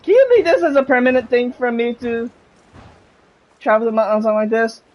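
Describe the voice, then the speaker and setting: Lively, higher-voiced woman commenting on a game. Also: a little fast, lo-fi sound. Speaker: gameplay streamer.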